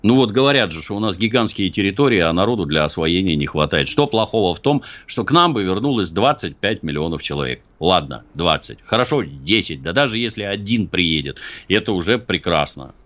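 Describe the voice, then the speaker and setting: Very deep-voiced man, ironic and argumentative. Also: a bit bright, lo-fi sound. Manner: ironic, argumentative, acts out quotes. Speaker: guest. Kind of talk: political talk show commentary.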